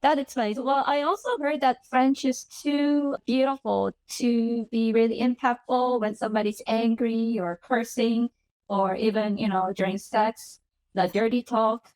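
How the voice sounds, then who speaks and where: A woman's voice: a little high, steady tone, unhurried, darkish tone, no room sound, rough recording. Chatty woman host, dry sound. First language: Korean. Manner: chatty, agreeable, lots of backchannel. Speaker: podcast host. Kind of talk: interview.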